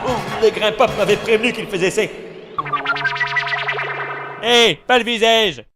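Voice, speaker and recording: A man's voice: high-pitched, unhurried, over loud music. A man playing a vain, effeminate villain knight in an anime parody dub, with an affected, mocking, theatrical delivery. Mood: petulant, teasing.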